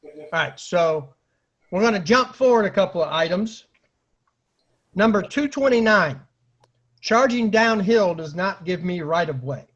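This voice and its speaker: Male storyteller, high-pitched, casual and comic, acts out dialogue and noises, dull lo-fi recording. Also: expressive, measured pace, pauses often, fairly bright tone. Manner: acts out quotes and sound effects, bursts into exclamations. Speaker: storyteller and host of an online story series.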